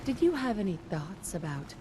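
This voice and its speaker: A woman's voice: mid-range voice, sing-song, normal speed, noisy background, lightly acted. An actress playing a self-assured, direct female detective in a video game. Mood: probing.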